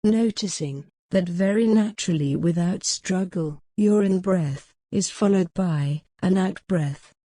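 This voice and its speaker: A woman leading a guided mindfulness meditation in a gentle, soothing, measured way with long pauses. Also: on the low side, no room sound, studio quality.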